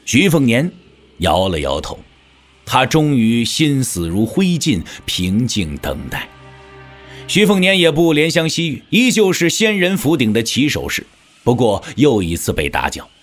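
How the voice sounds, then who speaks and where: A man's voice: medium pitch, measured pace, faint music behind. A man narrating a martial-arts story in a theatrical, scripted storytelling style. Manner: theatrical, expressive.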